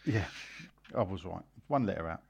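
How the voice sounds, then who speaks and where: A man hosts a football fan podcast. His voice is on the low side and gravelly, with a very animated melody. He banters bluntly and teases as he reads out messages. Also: moderate pace, dull audio. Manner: blunt, teasing, sweary. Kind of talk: casual banter, reading out listener questions.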